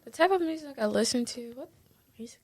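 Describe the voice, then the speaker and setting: A woman's voice: on the high side, animated, fast, a little mellow, no room sound. A nineteen-year-old woman chatting casually as a podcast guest. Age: late teens. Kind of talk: casual chat.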